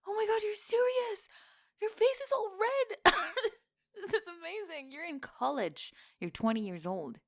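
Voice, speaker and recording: A woman's voice: extremely high pitch, slow, long pauses, full character voice. Woman acting sarcastic, teasing young tomboy friend. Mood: amused disbelief. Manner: sarcastic, teasing, expressive with sighs and laughs. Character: teasing, sarcastic college-age tomboy gamer friend, flustered underneath. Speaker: ASMR roleplay voice actor. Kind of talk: acted ASMR roleplay, one-sided conversation.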